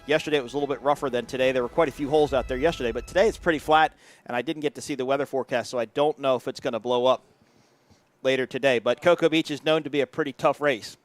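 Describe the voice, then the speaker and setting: A man's voice: medium pitch, steady tone. A man doing live sports commentary from an indoor broadcast booth, upbeat play-by-play. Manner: upbeat, rapid play-by-play delivery. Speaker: race commentator.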